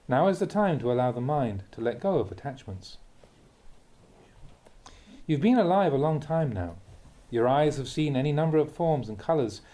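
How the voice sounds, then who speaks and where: A man reading a Buddhist teaching aloud as a Dhamma talk, in measured, deliberate phrases with emphatic contrasts. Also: a little high, dark tone.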